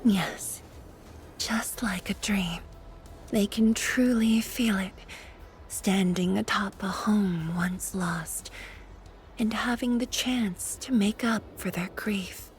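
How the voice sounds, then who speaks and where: An actress voicing a grave, wistful woman leader in a game, with a soft, breathy, stately, reflective delivery. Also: mid-range voice, slow, soft background music, close to an everyday voice. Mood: solemn, wistful. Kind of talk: scripted game voice acting.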